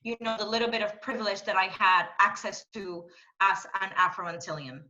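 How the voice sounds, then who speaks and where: A woman in her thirties from Panama, speaking in a thoughtful, halting way with many fillers as a guest on a panel conversation. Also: mid-range voice, bright, forceful tone, dull audio. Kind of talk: reflective conversation.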